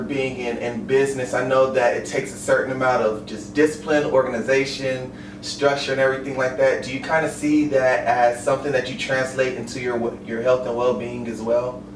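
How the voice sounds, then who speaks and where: A man hosts a relaxed podcast interview in a friendly, encouraging way, with easy fillers between his questions. He sits back from the microphone in a reverberant room, and ambient noise runs steadily behind him. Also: medium pitch, a little fast, hardly any pauses. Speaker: podcast host, online personal trainer. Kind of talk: casual interview chat. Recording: roomy, distant mic, ambient noise.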